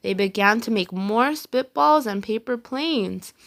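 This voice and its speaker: A woman reading a children's storybook aloud with expressive, deliberate narration. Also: a little high, creaky. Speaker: storybook reader.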